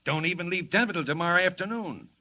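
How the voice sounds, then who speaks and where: An actor playing a nervous gunman and gambler in a 1940s radio drama, rough and slangy. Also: sits high, lively intonation, fast, sharp tone, muffled recording, exaggerated voice. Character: nervous male gunman and gambler, tough but jumpy. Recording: old radio recording with hiss.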